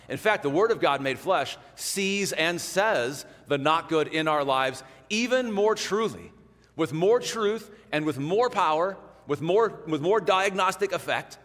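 Man preaching in a reverberant sanctuary. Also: a little high. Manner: conversational, animated, drops to hushed emphasis. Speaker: pastor. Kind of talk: sermon. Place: large church sanctuary in front of an altar. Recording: long church reverb.

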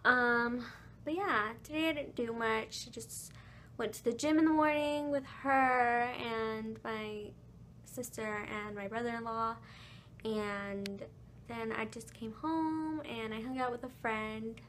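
Raspy young woman vlogging on a phone. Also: on the high side, takes it slowly, a bit bright, slight background noise. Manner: chatty and apologetic, many ums and likes. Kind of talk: casual vlog chat. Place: bedroom at home. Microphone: phone held at arm's length.

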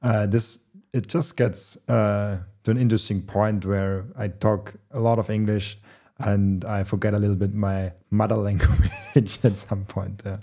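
A man's voice: lowish voice, flat delivery, measured pace, mellow. A young man, a native German speaker, talking in English on a video call, restarting often as he searches for words. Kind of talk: casual interview chat. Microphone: desk mic on video call.

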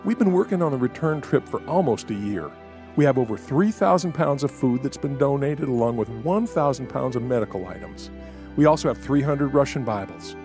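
An actor playing an enthusiastic, persuasive middle-aged American missionary musician in a family audio drama. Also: male voice, medium pitch, lively intonation, fairly quick, darkish tone, soft background music, natural voice. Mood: earnest.

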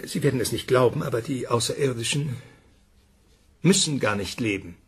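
A man's voice: mid-range voice, lively intonation, moderate pace, slightly rough. An actor playing a musing, emphatic male scientist in a radio drama interview, given to speculation and rhetorical questions.

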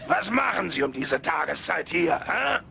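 A man playing an excitable old miser turned generous in a German cartoon dub, theatrical and given to exclaiming. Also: on the high side, normal speed, creaky, slight background noise, exaggerated voice. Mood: mock stern.

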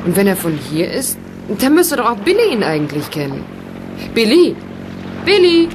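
A woman's voice: mid-range voice, wildly swooping pitch, moderate pace. A woman playing an earnest, reproving young woman on an expedition in a German radio play. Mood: concerned. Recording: engine noise behind the voices.

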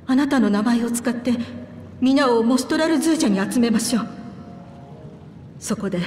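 Woman as a firm, higher-voiced female ally. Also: darkish tone, lightly acted. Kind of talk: dramatic video game voice acting. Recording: echoey long reverb under music.

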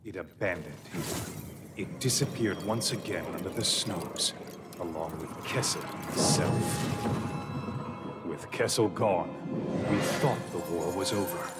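A man's voice: low voice, measured pace. A man giving grave, scripted narration for a fantasy game's story cutscenes. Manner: solemn, grave. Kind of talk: epic scripted game narration. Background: loud orchestral music.

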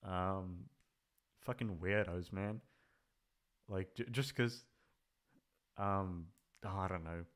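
A man's voice: low voice, slow, long pauses, a bit bright. A man chatting casually in a rambling, hesitant way, swearing freely as he goes. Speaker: ASMR voice actor, channel creator. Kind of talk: rambling casual farewell chat.

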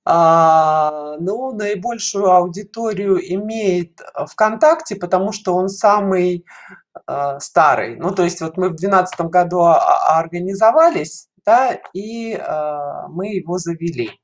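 A middle-aged woman explaining things in an interview over a video call, in halting phrases with frequent pauses. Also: on the low side. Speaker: caller to an online show, head of a children's animation studio. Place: small dim room indoors, video call. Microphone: headset on a video call.

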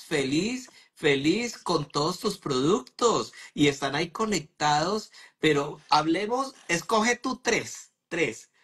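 A man hosts a live chat over a phone video call from home. His voice sits a bit high for a man, and he keeps things jovial with steady affirming murmurs. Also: slightly rough. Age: 50s. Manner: affirming, jovial, many "ujú" backchannels. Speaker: host. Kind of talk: casual live interview. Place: home room.

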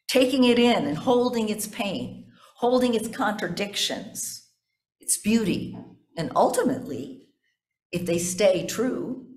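An older woman pastor delivering a sermon from notes in deliberate, measured phrases, with asides to her congregation. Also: a little high. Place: indoors in a church sanctuary at a pulpit. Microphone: gooseneck pulpit microphone. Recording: echoey church sanctuary.

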